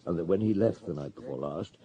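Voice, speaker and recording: An actor playing a shrewd, middle-aged police superintendent in a radio drama, gruff and authoritative, given to musing and terse questions. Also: male voice, sits low, a little fast, lo-fi sound, crowd noise, close to an everyday voice. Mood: brisk, commanding. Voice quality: gruff, husky. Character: shrewd, unhurried police superintendent on a murder case.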